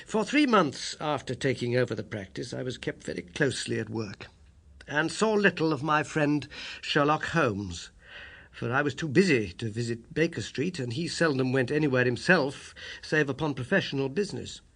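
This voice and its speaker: A British man narrating a literary story in the first person, with a husky, creaky voice and an expressive, emphatic delivery. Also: mid-range voice, moderate pace. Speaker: audiobook narrator.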